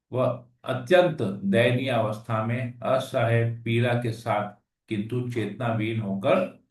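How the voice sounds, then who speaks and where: A man reading scripture verses aloud and then explaining them in plain conversational talk. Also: medium pitch. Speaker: scripture class speaker. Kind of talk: Hindi scripture reading with commentary. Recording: echoey room, far from the mic.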